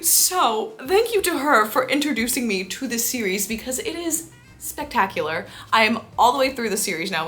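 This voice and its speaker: Female book vlogger, early twenties. Bright, forward voice, mid pitch, casual and gushing delivery. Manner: gushing, emphatic, chatty. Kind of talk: casual chat to camera about books.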